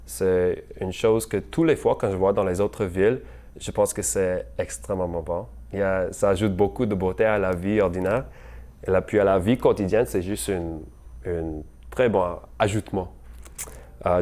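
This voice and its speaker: A young man giving an unscripted campaign message, hesitant and halting, with many fillers and slips into English words. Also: low-pitched, fairly even intonation, mellow. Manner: apologetic about his French. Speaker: mayoral candidate.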